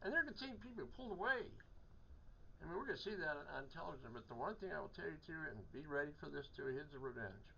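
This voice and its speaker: An older man, a hospital chaplain, talking in an earnest, rambling way with halting restarts, his voice slightly hoarse from a cold. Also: a little high, animated, bright, forceful tone, lo-fi sound, slight background noise. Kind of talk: casual conversation.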